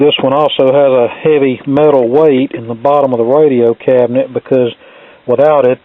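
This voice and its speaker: A man's voice: medium pitch, no room sound. A man with a plainspoken, folksy manner, casually narrating a hands-on repair as he works. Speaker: radio repair video host. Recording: thin phone-like sound, faint noise.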